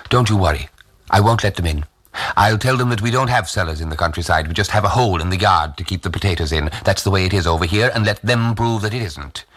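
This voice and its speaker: A man playing an agitated, protective old grandfather in a literary reading, excitable and insistent. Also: deep voice, fast, slightly rough. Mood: urgent, dismissive. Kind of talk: acted dialogue in a literary reading.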